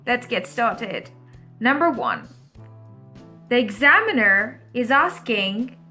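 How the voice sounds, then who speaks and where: A woman teaching an English speaking-test lesson, speaking slowly and clearly and repeating each question for learners. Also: on the high side, pushed and bright.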